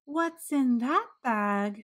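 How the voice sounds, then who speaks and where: A woman voicing a calm, kindly pet show judge in a storybook, politely announcing and asking questions. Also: on the high side, extremely slow, voice pushed up, full character voice. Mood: friendly, official.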